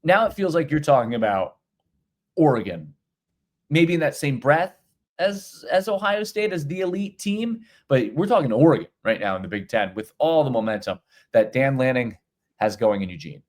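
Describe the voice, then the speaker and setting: A middle-aged man chatting casually as a podcast co-host, voice sitting at an ordinary pitch. He rambles, doubles back on himself and repeats words as he thinks aloud. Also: animated, moderate pace. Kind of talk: casual sports talk.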